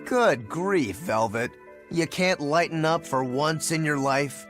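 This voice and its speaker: A man's voice: a little high, slow pace, a bit bright, soft background music. A man playing an easygoing, kindly and mildly chiding swordsman in a video game. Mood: wry, chiding.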